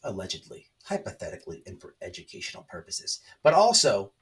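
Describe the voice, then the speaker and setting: A man's voice: fairly low pitch, huge pitch swings, brisk, fairly bright tone, far from the mic, slight background noise. A man hosting an online commentary channel, talking casually and emphatically to viewers, repeating phrases and checking in with rhetorical tags. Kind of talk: casual opinionated monologue to viewers.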